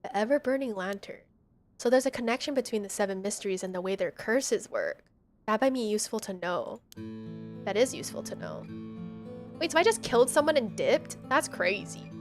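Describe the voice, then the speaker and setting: A woman's voice: mid-range voice, sing-song, fairly quick, soft background music. A woman livestreaming a game, reading its text aloud and reacting in casual slang. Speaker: streamer playing a horror visual novel.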